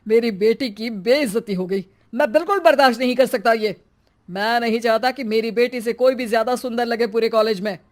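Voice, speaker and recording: A man's voice: very high voice, fairly quick. A man voicing a harsh, belittling middle-aged husband and father in a cartoon story, scolding and domineering. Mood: angry.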